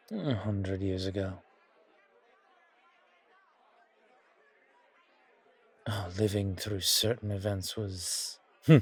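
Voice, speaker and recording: A man playing a suave, centuries-old vampire in a horror ASMR roleplay, languid and genteel with quiet menace and a husky, breathy voice. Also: on the low side, full character voice. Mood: wistful, indulgent.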